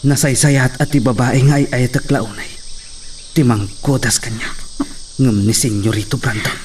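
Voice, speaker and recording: An actor plays a scheming, guilt-ridden man in an Ilocano radio drama, theatrical and intense. His accent is Ilocano and his pitch is average. He sounds slightly away from the mic, with faint insect noise around him. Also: fairly even intonation, normal speed, far from the mic, natural voice. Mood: defiant.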